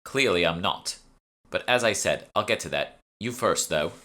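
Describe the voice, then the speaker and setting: Icy, sardonic female guild member played by a male reader, pointed delivery, forceful tone, fantasy audiobook. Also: sits low, animated, normal speed. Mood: cold, accusing. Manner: cold, pointed, sarcastic. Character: cold, accusing woman guild member, sardonic. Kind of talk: audiobook character dialogue.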